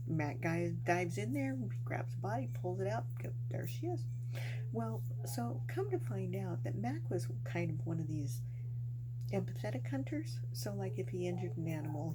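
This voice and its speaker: Low-voiced woman telling stories, noisy humming recording. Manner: chatty, folksy, colloquial phrasing. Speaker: host of a storytelling channel. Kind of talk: casual ghost storytelling to viewers. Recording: noisy home recording with clear hum.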